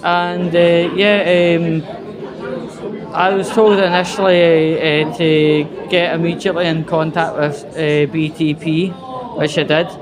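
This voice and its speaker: A Scottish man giving an informal vlog monologue while out walking, talking in a halting, hesitant, conversational way. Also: a little high, level tone, a crowd in the background. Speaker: vlogger. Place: out and about on a walk.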